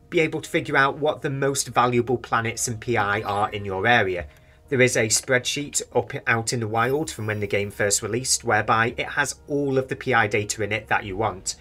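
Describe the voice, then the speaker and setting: A man's voice: mid-range voice, a bit bright. A young British man in his twenties, casually rambling through game market commentary from a small home room, his voice slightly worn. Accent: British English. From Britain. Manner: rambling, chatty, speculative. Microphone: desk microphone near face.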